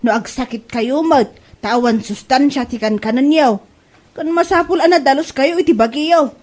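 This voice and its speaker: Woman acting a high-voiced, nagging Ilocano mother-in-law. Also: moderate pace, darkish tone, natural voice. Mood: scolding, lecturing. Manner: nagging, blunt, complaining. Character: blunt, scolding mother-in-law, fed up and fussy. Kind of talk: Ilocano comedy audio drama acting.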